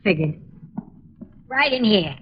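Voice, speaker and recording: A woman's voice: mid-range voice, expressive, takes it slowly, a little hiss or hum. Brassy, flirtatious landlady, muffled recording. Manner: slangy, wisecracking, chuckling familiarity. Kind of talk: 1940s radio acting. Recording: muffled old radio recording.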